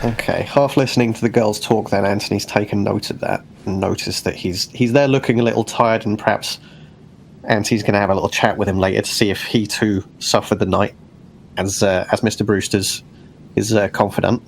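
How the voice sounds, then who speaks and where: Male roleplay gamer, fairly low voice, casual narration of his character, light noise on the recording. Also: fairly even intonation, brisk, vocal fry. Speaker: tabletop game player.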